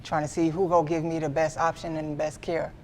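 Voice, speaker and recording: Earnest young man, gravelly voice. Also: fairly high pitch, flat delivery, darkish tone, a little hiss or hum. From Midwestern US. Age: 20s. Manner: earnest, repeats himself, halting restarts. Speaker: guest artist. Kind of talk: candid sit-down interview.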